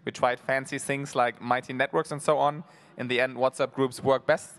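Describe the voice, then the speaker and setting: A man's voice: mid-range voice, level tone, normal speed, a bit bright. A man on a conference panel speaking in a matter-of-fact way about his work. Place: large conference stage.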